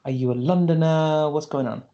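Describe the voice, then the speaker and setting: A man's voice: on the high side, moderate pace, dark tone, dull audio. Chatty, teasing man hosting a podcast. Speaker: podcast host. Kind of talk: podcast interview.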